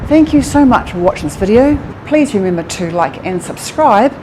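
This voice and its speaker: A woman with a New Zealand accent talks into an action camera as she walks through a city at night. She mixes facts with quick, delighted remarks, and clear outdoor city noise sits behind her voice. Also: mid-range voice, lively intonation. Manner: chatty, easily impressed, exclaims often. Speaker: travel vlogger. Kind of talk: walking tour vlog with facts. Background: city street and traffic sounds.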